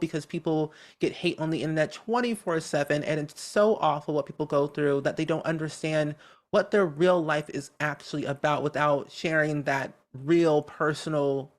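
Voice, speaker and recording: Upbeat podcast guest, chatty and cheery, naturally high voice with a medium tone, casual interview talk. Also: moderate pace. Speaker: podcast guest, content creator.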